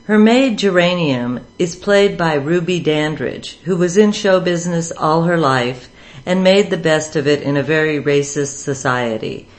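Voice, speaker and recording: A woman's voice: low-pitched, a little slow. A woman host reading a scripted introduction for a radio program.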